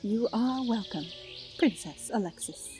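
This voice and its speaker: A very high, gentle fairy voice played by a woman with soft music behind it. She speaks formally and graciously, like a kindly little royal in an audio fairy tale. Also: lively intonation, unhurried. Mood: gracious, warm. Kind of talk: amateur audio roleplay acting.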